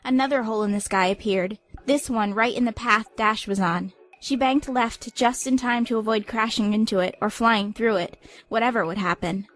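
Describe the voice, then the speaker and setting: Woman narrator, fairly high voice. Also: normal speed, few pauses, a bit bright. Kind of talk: scripted dramatic audiobook narration.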